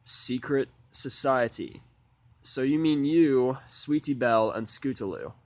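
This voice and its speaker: A man's voice: mid-range voice, unhurried, no echo. Man as a grumpy, terse, sarcastic guy. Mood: flat. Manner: flat, terse, grudging. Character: grumpy, sarcastic human male outsider among ponies.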